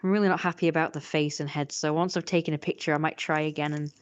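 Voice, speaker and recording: A woman's voice: deep voice, steady tone, quick pace, clean tone, muffled recording. A woman chatting casually on a livestream as she draws, rambling and self-critical. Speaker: streamer and artist drawing. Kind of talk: casual livestream chat while drawing.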